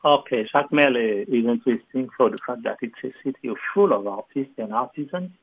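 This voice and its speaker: Man, gravelly voice over phone. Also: medium pitch, no echo. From Haiti. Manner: halting, many fillers and restarts. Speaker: guest, historian and literature professor. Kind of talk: conversational interview answers. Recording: phone line.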